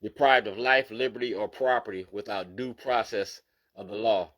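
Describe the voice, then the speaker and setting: A man with a fairly low voice talks straight to the viewer in a plain monologue. He is insistent and keeps checking in with "you feel me?" as he presses each point. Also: fairly even intonation, normal speed, fairly bright tone. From Baltimore, USA. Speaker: activist. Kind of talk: direct-to-camera monologue going through documents.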